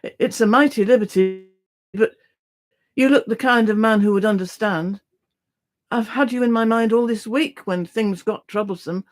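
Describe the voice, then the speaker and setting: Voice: mid-range voice, normal speed, natural voice. A reader voicing a nervous, rattled little man in a novel, stammering and humbly apologetic as he begs for help. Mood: humble, rattled.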